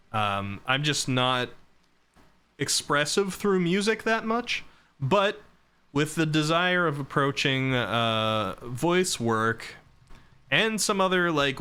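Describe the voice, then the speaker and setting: A young man streaming live, chatting casually about himself with a rambling, self-deprecating manner, his voice starting to go hoarse from talking so long. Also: mid-range voice, bright, forceful tone. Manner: rambling and self-deprecating, reading chat and laughing.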